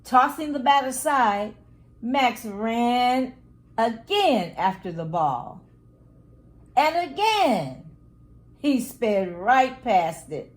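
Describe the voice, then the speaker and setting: A woman reads a picture book aloud to children as a storyteller, with deliberate, dramatic phrasing. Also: on the high side, sing-song. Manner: deliberate, dramatic phrasing with acted sound words. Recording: echoey, roomy sound.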